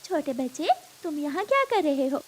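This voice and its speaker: A woman voicing a caring, gentle mother sparrow in a cartoon, kindly and motherly. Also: sits high, sing-song, moderate pace, pitched above the performer's own voice.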